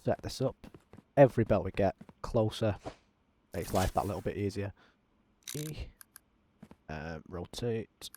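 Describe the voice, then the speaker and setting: A man talking through a simulator game as a channel host, in a self-described monotone with frequent ums. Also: fairly low pitch, measured pace, long pauses, a little hiss or hum. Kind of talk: casual gameplay commentary.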